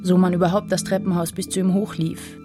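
Woman as a measured noir storyteller over music. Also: lowish voice, level tone, lightly acted. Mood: cool. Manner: measured, descriptive, poetic. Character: cool woman narrator of a noir tale. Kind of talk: literary noir radio drama narration. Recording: music behind the voice.

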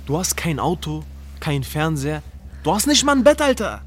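A man's voice: on the high side, sing-song, normal speed, a little hiss or hum. An actor playing a brash, teasing young male drug dealer in a radio drama, pushy and crude in streetwise slang.

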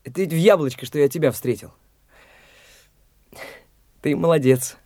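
A man's voice: fairly high pitch, normal speed, darkish tone, close to an everyday voice. An actor playing Mitya, a brash, pushy young man who talks in a taunting, blunt way, in a studio radio play.

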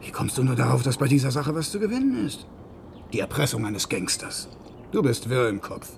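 Creaky-voiced, animated male doubter. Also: mid-range voice, brisk, a little hiss or hum. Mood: incredulous. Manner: protesting, incredulous. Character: anxious, doubting male actor, reluctant accomplice. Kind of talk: radio drama acting.

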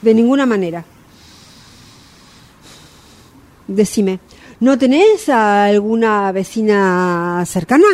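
An actress with an Argentine Rioplatense accent playing a patronising, easily exasperated fortune-teller in a radio play, with emphatic, repetitive replies. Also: female voice, medium pitch, measured pace, a little mellow, close to an everyday voice. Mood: firm refusal. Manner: theatrical, reactive, emphatic repetition.

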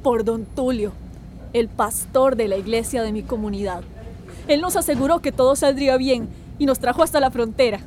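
Radio drama heroine, acted by a woman: gentle, hopeful young woman, soft dark tone. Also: on the high side, moderate pace, slight background noise, close to an everyday voice. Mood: haunted recounting.

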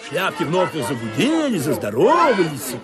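A man narrating a radio play in an ironic, expressive storytelling manner. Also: a little high, measured pace, crowd noise.

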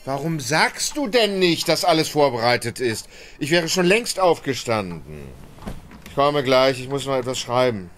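A male actor playing an idle, fretful gentleman master in a radio play, peevish and lazily bossy. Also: medium pitch, sing-song, moderate pace, slight background noise. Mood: languid, complaining. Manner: peevish, sighing, lurching from languid murmur to commands.

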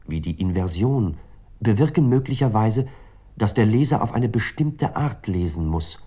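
An actor playing a reflective male writer, speaking a formal, measured literary monologue for a radio drama. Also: deep voice, mellow, breathy edge. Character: reflective male writer musing on his work. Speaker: actor reading the novelist-narrator's words.

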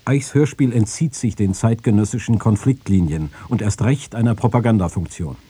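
A man reads out written quotations for a radio feature. His voice is extremely dark and husky, and a bit low. The sound is dry studio. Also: normal speed, no room sound. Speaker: reader of press quotations. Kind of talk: scripted reading of quotations.

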